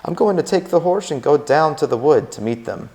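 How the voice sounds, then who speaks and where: A man reading aloud, voicing a calm, sensible middle-aged farm wife in a raised, lilting voice. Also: a little high, a little fast, pitched above the performer's own voice. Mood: patient.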